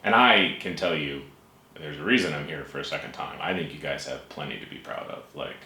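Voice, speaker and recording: Low, bright-toned host. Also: male voice, fairly quick. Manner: warm, hesitant, many ums and restarts. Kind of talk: casual interview. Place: room with bookshelves, at a table. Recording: echoey room with faint hiss.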